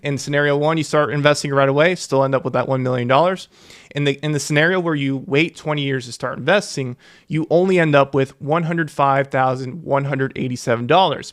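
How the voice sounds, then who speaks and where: A man's voice: fairly high pitch, a bit bright. A young man, a financial educator, earnestly walking viewers through investing figures and repeating key numbers for emphasis. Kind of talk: explainer to camera.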